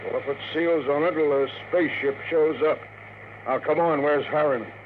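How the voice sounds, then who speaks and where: A man's voice: a little high, normal speed, lightly acted. An actor playing a brusque, impatient and sarcastic lawman in a 1950s radio drama. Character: brusque, sarcastic male lawman serving court papers. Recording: tinny, noisy 1950s radio recording.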